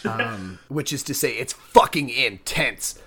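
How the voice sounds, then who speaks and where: A man's voice: mid-range voice, animated, slow, sharp tone, husky. A man hosting a podcast, chatting in a laid-back, profane, joking way. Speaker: podcast host. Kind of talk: casual, joking chat.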